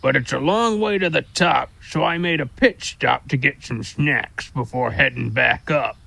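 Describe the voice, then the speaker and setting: Man voicing a slow, creaky, gossiping squirrel. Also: medium pitch, bright, forceful tone, no room sound, full character voice, low-quality recording. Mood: dismissive. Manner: flippant, boastful storytelling. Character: sly, gossiping, mean male squirrel messenger. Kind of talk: audio drama character acting.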